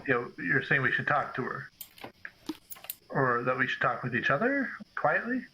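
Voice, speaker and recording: Very bright-voiced man, tentative gamer. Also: mid-range voice, big pitch swings, normal speed, lo-fi sound, a little hiss or hum. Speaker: player in a tabletop game. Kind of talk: casual game discussion.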